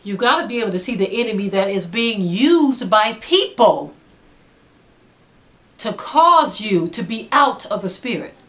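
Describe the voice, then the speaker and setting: A woman's voice: mid-range voice, expressive, a little slow, a bit bright. A woman speaking as a religious teacher in a sermon style, earnest and emphatic, urging listeners with repeated phrases. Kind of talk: sermon-like spoken exhortation.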